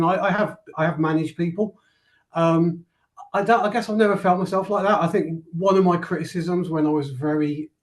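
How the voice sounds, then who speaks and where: A modest man in his fifties answers interview questions, stopping and repeating words as he thinks. His voice sits a little higher than most men's. He talks in an easy, unassuming way. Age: 50s. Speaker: company founder and guest.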